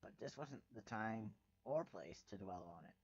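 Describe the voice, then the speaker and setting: A man reading fan fiction aloud as a dramatic first-person narrator, with a wry, expressive delivery. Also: on the low side, moderate pace, creaky, slightly rough, dry recording, lo-fi sound.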